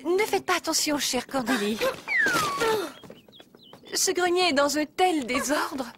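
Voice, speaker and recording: A woman's voice: high voice, expressive, a little slow, noisy background. A woman voicing a fussy, harried but affectionate mother in a children's cartoon, full of exclamations. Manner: exclamatory, fussing.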